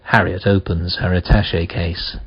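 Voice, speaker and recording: A man's voice: sits low, level tone, moderate pace, slightly rough, no room sound, muffled recording. A man reading scripted scene narration for a radio play.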